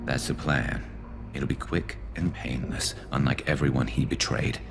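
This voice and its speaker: An actor voicing a vengeful, grimly resolute alien vigilante in a video game, terse and dry with controlled menace. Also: male voice, fairly even intonation, measured pace, background noise. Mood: cold resolve. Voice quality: very deep, gravelly.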